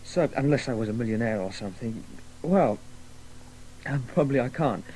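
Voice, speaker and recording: An actor playing an earnest, bookish young son in a radio drama, tentative and rambling. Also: male voice, medium pitch, lively intonation, moderate pace, dark tone, slight background noise, close to an everyday voice, low-quality recording. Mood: hesitant. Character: earnest, bookish, dreamy son with a crazy scheme. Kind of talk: 1980s radio drama acting.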